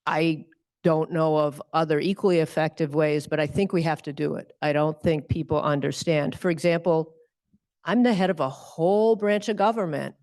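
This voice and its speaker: Relaxed, wry woman judge with a gravelly voice. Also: low-pitched, moderate pace, no room sound. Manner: relaxed, wry, with light laughs. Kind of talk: conversational Q&A answers.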